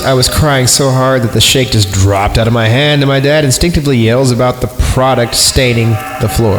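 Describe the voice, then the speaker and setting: A man reading a story aloud as a podcast host, irreverent and crude, breaking off into sarcastic comic asides. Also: medium pitch. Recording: roomy echo with music behind. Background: music.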